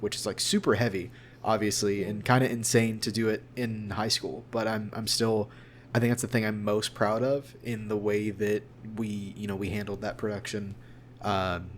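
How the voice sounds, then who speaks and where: A man's voice: on the low side, slight background noise. A young man, a voice actor being interviewed, talking in a rambling, informal, conversational way. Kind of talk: casual interview chat.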